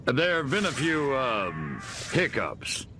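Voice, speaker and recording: A man playing an evasive, smug government official in a game cutscene, speaking in a measured way. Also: medium pitch, big pitch swings, sharp tone, faint music behind, heavily acted. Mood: hesitant. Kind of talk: video game cutscene acting.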